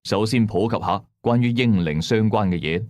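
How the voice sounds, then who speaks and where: A man's voice: fairly low pitch, normal speed, darkish tone, dry recording, studio quality. A man with a Hong Kong accent narrating a scripted ghost story in a colloquial, dramatic and suspenseful way.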